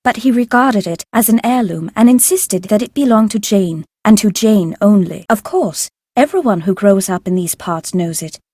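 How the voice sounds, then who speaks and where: A woman voicing a formal, guarded tutor in a video game, measured and stern. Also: medium pitch, animated, darkish tone, no room sound, lightly acted. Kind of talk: scripted video game dialogue.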